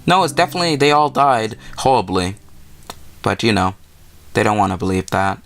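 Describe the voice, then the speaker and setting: A man's voice: on the low side, brisk, fairly bright tone. A man hosting a gaming video, reading a visual novel aloud and adding casual, informal asides.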